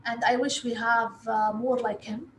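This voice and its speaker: A woman from Lebanon, a native Arabic speaker, answering interview questions in a halting way with frequent filled pauses. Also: fairly high pitch, level tone. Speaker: interview guest, investor relations director. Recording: echoey room with faint background noise.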